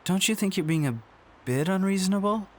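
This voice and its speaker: A man's voice: sits high, expressive, brisk, slight background noise. An actor playing a doting, weary father who avoids conflict in a horror radio drama. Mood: weary, exasperated.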